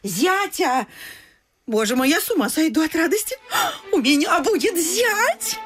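An actress playing a bustling, excitable middle-aged provincial wife and mother, gushing and bossy in theatrical radio vaudeville style. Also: very high voice, unhurried, heavily acted. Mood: flustered, elated.

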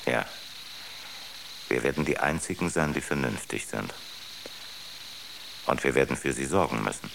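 An actor playing a coolly rational, superior ship's computer in a radio drama, speaking in a measured, pedantic, matter-of-fact way. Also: male voice, extremely low pitch, lively intonation, vocal fry, background noise, exaggerated voice. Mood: coolly factual.

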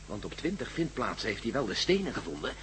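Cautious analytical explorer, man, quick lively speech, radio play style, faint background noise. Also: fairly low pitch, low-quality recording. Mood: reasoning. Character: Stevens, analytical, cautious male explorer and friend of the hero. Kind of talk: Dutch radio drama acting.

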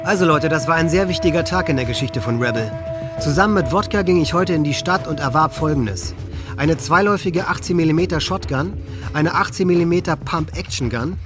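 A man's voice: fairly high pitch, a little fast, over music, natural voice. An actor playing a hate-filled, resentful teenage boy in a German radio drama, bitter, boastful and profane. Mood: gloating. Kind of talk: German radio docudrama monologue.

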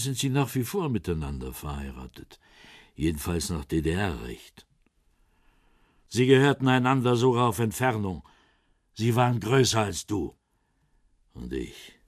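Low-voiced, worn-down old East German spymaster. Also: male voice, sing-song, unhurried, pauses often, breathy edge, no room sound, clean studio sound, exaggerated voice. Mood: scornful, proud. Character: heavyset former East German intelligence officer, defensive, sardonic, worn-down. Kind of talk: German radio crime drama acting.

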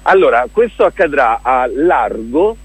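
A man's voice: medium pitch, very animated, unhurried, slightly rough, no room sound. A man speaking as an enthusiastic, effusive guest in a casual radio interview, talking as a theatre director. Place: radio broadcast.

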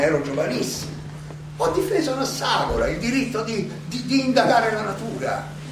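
Stage role: elderly ironic philosopher defending himself in court, rhetorical and insistent; very reverberant, distant, noisy live theatre recording. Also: male voice, high voice. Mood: insistent, challenging. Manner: rhetorical, halting then insistent, questioning. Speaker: stage actor.

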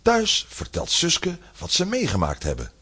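A man's voice: on the high side, huge pitch swings, measured pace, pushed and bright, a little hiss or hum. A man narrating a scripted children's story as the narrator of an audio drama.

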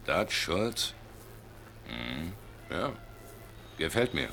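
A man's voice: low voice, big pitch swings, drawn-out words, pushed and bright, slight background noise, exaggerated voice. An actor playing a tough, laconic gangster recounting his rise in a German radio play. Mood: guarded. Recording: music behind studio radio play.

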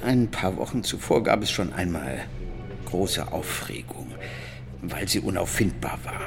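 A man's voice: sits low, expressive, unhurried, creaky, music in the background, exaggerated voice. An actor playing a guarded, middle-aged father being questioned in a German radio drama. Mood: guarded, reflective. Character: Alex Henry, soft-spoken father and museum man under questioning.

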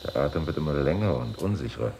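A composed hospital surgeon in a vintage radio play. He has an extremely low voice and speaks soberly, with resigned calm. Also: quick pace, creaky, slight background noise, heavily acted. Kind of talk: 1960s German radio drama acting.

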